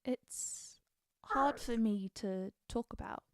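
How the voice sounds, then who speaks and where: A woman's voice: on the high side, slow. A woman playing a battle-hardened, guarded, bloodthirsty Nord warrior in a video game, confiding in dramatic dialogue. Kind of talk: scripted game character dialogue.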